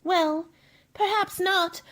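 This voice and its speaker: A woman's voice: very high voice, steady tone, slow pace, a bit bright, heavily acted. A woman playing a polite, curious young girl in a radio play, talking with mannered wonder. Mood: apologetic.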